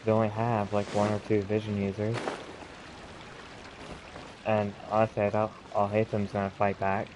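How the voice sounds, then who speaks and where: A man's voice: lowish voice, completely flat delivery, normal speed, pauses often, background noise. A man giving casual, offhand gameplay commentary in an informal way. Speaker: video game player commenting.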